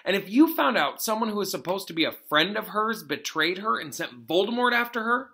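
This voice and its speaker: A man's voice: high voice, expressive, brisk, pushed and bright, voice pushed up, exaggerated voice. A man reading a storybook aloud as a troubled, brooding schoolboy wizard, defensive and impassioned.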